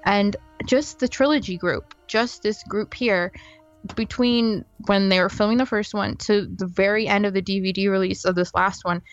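A woman's voice: medium pitch, steady tone, normal speed, no room sound. Chatty young woman, muffled audio. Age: 20s. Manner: chatty and reflective. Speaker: podcast guest. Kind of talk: casual podcast chat. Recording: muffled lo-fi audio with faint music.

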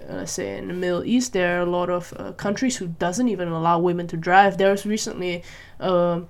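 A woman from Malaysia talking through her opinions in a casual solo monologue. She thinks out loud, asks herself questions and keeps checking in with "right?" and "you know?". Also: mid-range voice. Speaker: vlogger.

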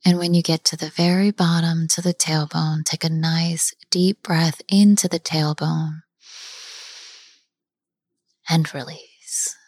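A woman guiding a chakra body scan meditation, speaking softly and often half-whispering, with long pauses. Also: deep voice, little pitch movement, no room sound, studio quality. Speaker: meditation guide. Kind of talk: guided meditation.

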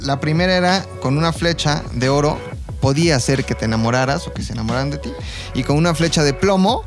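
A Mexican man with a slightly high voice tells history like casual gossip. He explains informally, slipping into slang and playful quoted lines, with clear music playing behind him. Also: fast. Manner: informal, explanatory, role-plays imagined quotes. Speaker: podcast co-host telling history. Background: background music.

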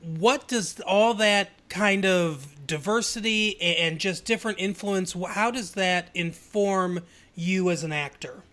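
Male podcast host, high voice, upbeat and questioning. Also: unhurried, fairly bright tone. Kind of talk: casual interview.

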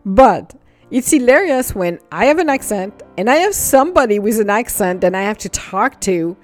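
A woman with a non-native English accent hosting a casual solo podcast, animated and laughing often, speeding up when excited. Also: medium pitch, moderate pace, faint music behind. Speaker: podcast host.

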